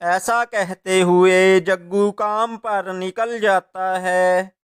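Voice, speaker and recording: A male narrator reads a scripted children's story. His delivery is very slow, with an exceptionally clean, smooth voice. Each sentence is told as plain storytelling. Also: high-pitched, fairly even intonation.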